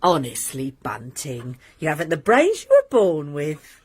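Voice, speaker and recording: An actress playing a practical, sharp-tongued married landlady in a radio drama, brisk and scolding. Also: low-pitched, slightly rough, heavily acted. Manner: brisk, scolding, lively intonation.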